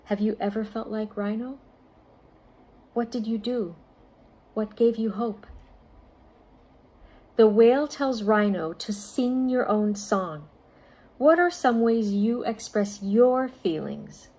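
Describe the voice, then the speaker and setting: A woman reading aloud and talking directly to children as a storytime volunteer, in deliberate, carefully phrased speech with short pauses. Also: fairly high pitch, warm tone, rough recording.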